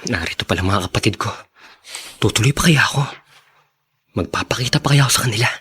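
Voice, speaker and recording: A man's voice: lowish voice, animated, fast talker, sharp tone, husky, heavily acted. An actor playing a hesitant, insecure youngest brother in a radio drama, anxiously questioning his welcome. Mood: doubtful.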